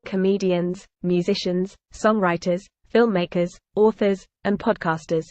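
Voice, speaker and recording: A woman at a mid pitch reads a scripted promo in an even, listing cadence. The audio is dry with no room sound, and the sound is dull and lo-fi. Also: measured pace, no echo. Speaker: announcer reading a promo.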